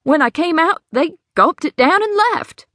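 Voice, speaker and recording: Woman voicing a distraught, high-pitched swindled wife. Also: animated, normal speed, fairly bright tone, no room sound. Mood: anxious. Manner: high, trembling and pleading. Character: distraught middle-aged woman cheated of her savings.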